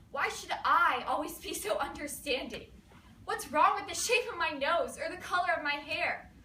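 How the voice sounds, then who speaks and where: A woman performing a stage monologue as a bitter, wounded, defiant wife preparing to flee. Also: high voice. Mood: furious indignation. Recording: roomy, distant mic, faint noise.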